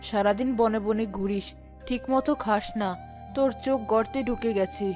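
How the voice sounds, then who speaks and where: A woman acts out a sick village mother in a cartoon tale, fatalistic yet fiercely protective. Her voice sits rather high and plaintive, with soft music underneath. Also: moderate pace, natural voice. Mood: despairing. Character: poor, gravely ill village mother, despairing, protective. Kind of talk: cartoon drama dialogue.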